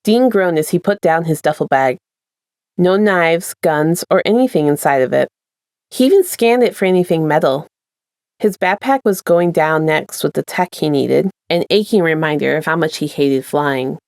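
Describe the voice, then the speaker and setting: A woman's voice: low-pitched, animated, moderate pace, a little mellow, no room sound, studio quality. A woman presenting and reading her own fan fiction as a podfic narrator, in an informal storytelling style. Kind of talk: casual intro and scripted story narration.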